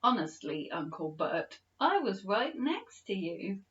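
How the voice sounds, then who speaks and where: A woman's voice: medium pitch, very animated, slow, a bit bright, muffled recording. A woman voicing a playful, eager young girl who is cheeky and wide-eyed.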